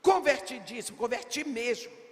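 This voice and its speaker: An older woman preaching a sermon to a women's congress, emphatic, with rhetorical questions and bursts of shouting. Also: fairly high pitch, expressive, normal speed. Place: large church hall. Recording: long reverb of a big hall.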